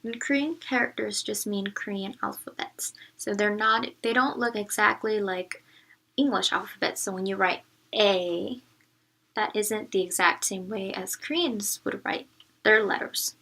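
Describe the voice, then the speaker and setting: A woman teacher reading a picture book aloud, narrating and pausing to explain it to young listeners. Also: mid-range voice, bright, forceful tone.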